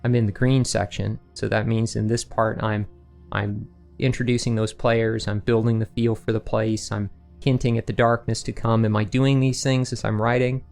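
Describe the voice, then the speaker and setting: A man's voice: fairly low pitch, flat delivery, moderate pace, creaky, a little mellow, soft background music. A man speaking as a guest author in a casual interview, explaining his process with rhetorical questions and quoted asides to himself. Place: remote online recording session.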